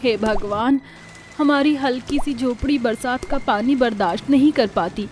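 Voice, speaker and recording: Woman acting poor village wife, high voice. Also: unhurried, a little mellow, a little hiss or hum, natural voice. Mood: anxious, lamenting. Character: poor, anxious but practical village wife. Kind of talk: dramatized storybook dialogue.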